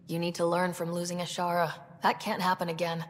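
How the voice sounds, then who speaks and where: Woman playing a low-voiced, earnest space explorer. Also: monotone, brisk, a little hiss or hum, lightly acted. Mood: composed. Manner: earnest, diplomatic, composed. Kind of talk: video game acting.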